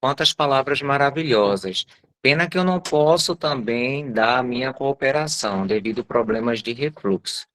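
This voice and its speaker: Brazilian guy reading out group messages, mid pitched voice, informal replies. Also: animated, normal speed, a bit bright. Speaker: reader of participant messages.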